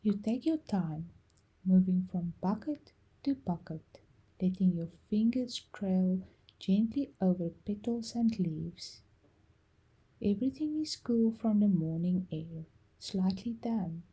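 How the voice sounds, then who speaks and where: A woman storyteller narrating a bedtime meditation story in a soft, gentle, calming and unhurried way, with pauses. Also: medium pitch, slightly rough, low-quality recording. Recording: music behind the voice.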